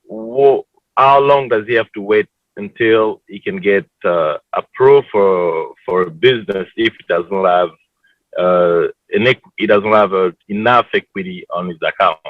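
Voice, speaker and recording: A man's voice: on the low side, measured pace, no room sound. A man in a mid-range voice moderates a forum and asks the panel long questions. He halts and restarts his sentences. His voice comes across dull and lo-fi, with no room sound around it.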